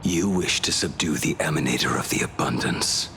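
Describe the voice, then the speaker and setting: A man playing a grim, haunted outlaw swordsman in a game cutscene, brooding and solemn, with a gravelly voice. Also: normal speed, sharp tone, slight background noise, full character voice. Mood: grave, resolute. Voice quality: very deep, gravelly. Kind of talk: dramatic game cutscene acting.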